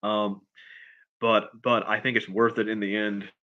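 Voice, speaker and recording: A man chatting casually on a livestream as a writer answering questions, in a halting, rambling way, often repeating and restarting his phrases. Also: fairly low pitch, little pitch movement, lo-fi sound. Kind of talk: casual unscripted chat, answering viewer questions.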